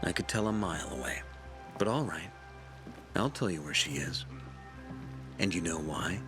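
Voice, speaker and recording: An actor playing a shrewd, pompous leader of a survivor community in a video game, speaking in a deliberate, preacherly way. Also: male voice, low voice, expressive. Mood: calm menace. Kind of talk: dramatic video game acting. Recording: background music under the voice.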